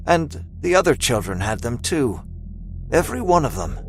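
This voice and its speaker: A man's voice: medium pitch, very animated, moderate pace, slight background noise. A man narrating a scripted audio drama in a dramatic storytelling style.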